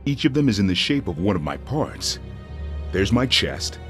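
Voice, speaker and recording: A man's voice: on the low side, sing-song, moderate pace, fairly bright tone, faint music behind. A man voicing a powerful creature built of ancient relics, speaking tersely and earnestly in a video game. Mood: earnest, sure.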